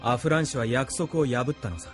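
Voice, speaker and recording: An actor playing a smug, taunting villain with a deliberate, theatrical delivery in an anime audio drama. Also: male voice, medium pitch, over music, natural voice. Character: smug, taunting male villain showing off to captives.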